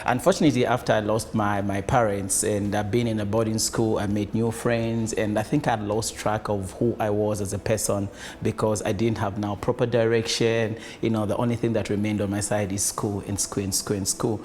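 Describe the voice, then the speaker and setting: A man's voice: on the low side, flat delivery, normal speed, a little mellow, slight background noise. A man from Zambia, a business founder, answering interview questions in a hesitant way with many fillers. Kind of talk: conversational interview.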